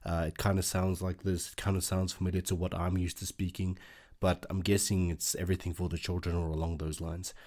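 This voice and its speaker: A man's voice: low voice, flat delivery, brisk, darkish tone. A man, an Afrikaans speaker, hosting a casual reaction video and thinking aloud with lots of fillers.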